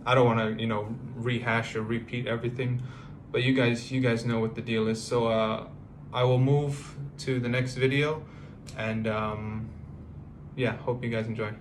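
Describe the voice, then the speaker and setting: Halting, flat-voiced man in his thirties. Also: mid-range voice, slight background noise. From United States. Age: 30s. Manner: halting, reflective, many fillers and restarts. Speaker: vlogger. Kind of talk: personal vlog monologue.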